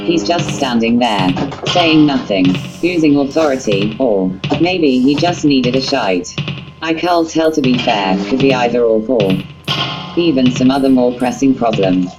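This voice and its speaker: Female story narrator, very deep, literal text-to-speech delivery, guitar backing. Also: expressive, unhurried, rough recording. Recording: music under the voice. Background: guitar music.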